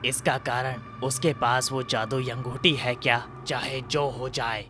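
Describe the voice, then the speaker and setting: A man's voice: medium pitch, steady tone, a little slow, lightly acted. A man playing a menacing, grandiose sorcerer hungry for power in a children's cartoon story. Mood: frustrated, determined. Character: scheming, power-hungry male sorcerer of the cave. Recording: music behind the voice.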